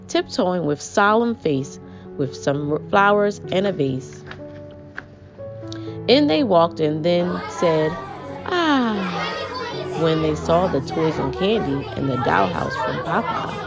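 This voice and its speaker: A woman reading aloud to young children as host, with an expressive, measured storybook delivery. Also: lowish voice. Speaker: children's book reader, host. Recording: muffled audio with prominent music.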